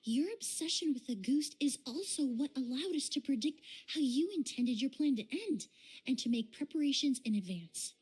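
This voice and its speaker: An actress playing a composed young female perfumer in a video game, explaining things in a measured, articulate, quietly firm way. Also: high-pitched, expressive, slightly rough. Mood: firm, matter-of-fact.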